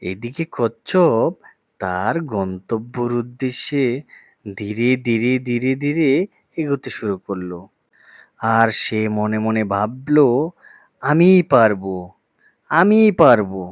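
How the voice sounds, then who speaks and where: Slow-paced male storyteller. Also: mid-range voice, no room sound, studio quality. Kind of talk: scripted children's fable narration.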